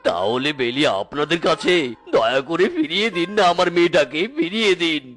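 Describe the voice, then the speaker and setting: A man's voice: on the high side, expressive, fairly quick, a bit bright. A man voicing a distressed cartoon husband and father who pleads earnestly. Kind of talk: cartoon voice acting.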